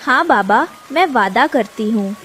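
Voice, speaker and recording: High, earnest mother bird voiced by a woman. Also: animated, unhurried, a bit bright, slight background noise. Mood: grateful, earnest. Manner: plaintive, earnest. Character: poor, devoted mother bird, humble and hopeful. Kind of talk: children's cartoon voice acting.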